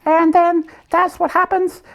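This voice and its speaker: A woman voicing a slipper puppet who hosts a comic show, explaining things simply and haltingly in a matter-of-fact way. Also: very high voice, level tone, vocal fry, a bit bright, heavily acted.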